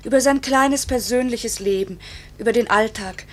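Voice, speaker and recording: An actress playing a pilot's anguished young wife in a 1950s German radio drama, pleading and accusing in a halting, emotional, breathy delivery. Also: female voice, on the high side, natural voice. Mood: bitter, pleading. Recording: hissy 1950s radio recording, faint hum.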